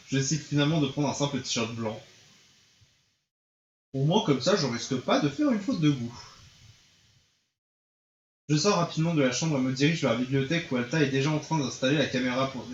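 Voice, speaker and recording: A man's voice: mid-range voice, fairly quick, slight background noise. A man reading game dialogue and narration aloud as a streamer, with a theatrical manner and occasional stumbles over the lines. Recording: muffled, roomy, faint music behind.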